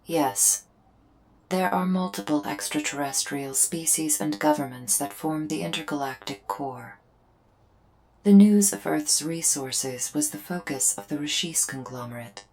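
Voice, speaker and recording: A woman voicing a solemn female alien invader in a scripted ASMR roleplay, speaking in measured, formal, deliberate phrases with pauses. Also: deep voice, darkish tone, a little hiss or hum. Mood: solemn, explanatory. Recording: music behind the voice. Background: music.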